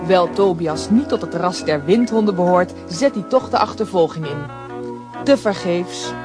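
A narrator with a Flemish accent telling a children's radio play in a lively storytelling way. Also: medium pitch, moderate pace. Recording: music under the voice.

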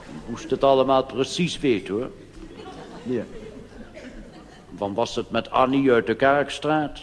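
A man's voice: mid-range voice, a little slow, a faint crowd, natural voice. A man performing a comic stage monologue as an old-fashioned elderly churchgoer who rambles hesitantly and chattily about his youth to the youngsters. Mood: confiding, reminiscing. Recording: reverberant hall with faint background noise.

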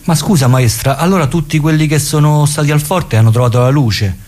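A man's voice: a little high, a little fast, a little mellow, natural voice. A man doing a blunt, incredulous pupil. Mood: teasing.

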